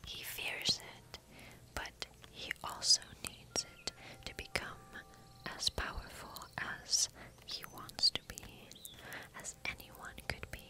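Slow whispering young woman as a kind witch. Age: young adult. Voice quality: breathy, unvoiced whisper. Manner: slow, soft, deliberate storytelling as a kind witch. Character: kind, mysterious forest witch healer. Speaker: ASMR roleplay performer. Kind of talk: whispered ASMR fantasy roleplay. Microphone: close microphone.

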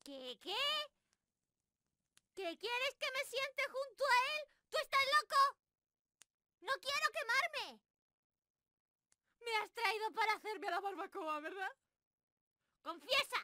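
An extremely high, bright squirrel girl for an anime-style ASMR roleplay. She is excitable and ditzy, stammering as her pitch swoops about. Some noise sits behind the voice. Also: slow, pauses often, dry recording, exaggerated voice. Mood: panicked. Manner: bubbly, excitable, stammering, giggly. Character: hyper, ditzy, timid young squirrel monster girl.

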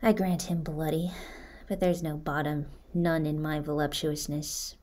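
Woman acting low-voiced noble exiled prince. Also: flat delivery, unhurried, warm tone. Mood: grave, self-accusing. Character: young exiled prince, noble, guarded, testing loyalty. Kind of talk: Shakespearean radio drama.

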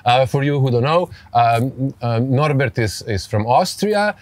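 A man's voice: medium pitch, lively intonation, unhurried, dry recording. A man in his forties from Serbia, hosting a podcast interview outdoors by a pool in English with a Serbian accent, hesitant and searching for words. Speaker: podcast host.

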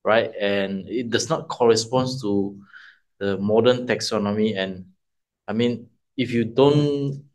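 A man asking a question in a Q&A, halting with many uhs and restarts. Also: fairly low pitch, darkish tone. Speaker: retreat participant asking a question.

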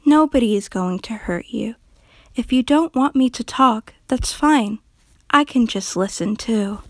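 A woman voicing a gentle, timid character who comforts animals, speaking softly and calmly in a breathy near whisper. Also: fairly high pitch, measured pace, dry recording. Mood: soothing. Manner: calm, gentle, hesitant, comforting.

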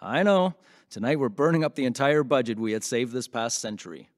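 A man's voice: medium pitch, expressive, brisk, no echo, lightly acted. Actor as wisecracking radio host, male, medium voice, close dry sound, chatty, casual, audio drama. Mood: jokey, upbeat.

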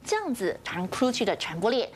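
A woman's voice: a little high, sing-song, a little fast, a little hiss or hum. A young woman presenting broadcast news in a TV studio as an anchor, with a Taiwanese Mandarin accent.

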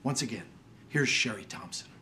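A man's voice: mid-range voice, expressive, normal speed, bright, forceful tone. An older man presenting an award in a scripted, formal announcement style.

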